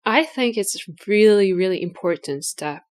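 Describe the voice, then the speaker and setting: A woman with a Hungarian accent answers interview questions in a relaxed, searching way. She pauses and restarts often as she works out her thoughts. Her pitch sits in a middling range. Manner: hesitant, thinking aloud with restarts and fillers. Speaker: guest artist. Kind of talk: casual interview.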